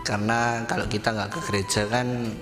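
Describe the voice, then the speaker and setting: A man's voice: on the low side, level tone, fairly quick, music in the background. A twenty-six-year-old man answering plainly and earnestly. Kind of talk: casual interview answers.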